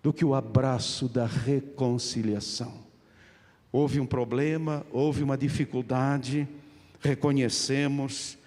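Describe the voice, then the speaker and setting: Portuguese pastor preaching in a reverberant hall. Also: male voice, mid-range voice, steady tone, slow pace, darkish tone. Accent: Portuguese (European). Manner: emphatic repetition, addressing listeners directly. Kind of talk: evangelical sermon. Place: large church hall, live service. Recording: long hall reverb of a church.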